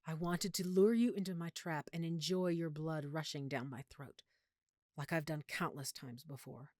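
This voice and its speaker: A lovesick vampire girlfriend, played by a woman, burdened by guilt over what she is. Her voice sits a little low and is very dry and close. She speaks tenderly, as if confiding in one listener, with dramatic pauses, in an intimate audio roleplay. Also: fairly even intonation, a little mellow, no room sound. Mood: confessional, yearning. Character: lovesick, guilt-ridden female vampire hiding her nature from boyfriend.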